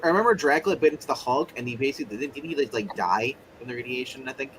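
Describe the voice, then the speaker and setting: A man in casual tabletop game chat, narrating in a rambling, joking, animated way. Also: medium pitch, racing, noisy background. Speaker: player narrating in a roleplay game.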